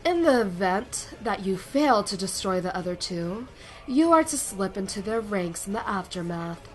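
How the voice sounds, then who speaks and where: A woman's voice: medium pitch, expressive, moderate pace, lightly acted. A woman playing a cold, manipulative military commander in a sci-fi drama, speaking with controlled authority. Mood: commanding. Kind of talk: dramatic sci-fi audio acting. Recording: loud music bed over the voice.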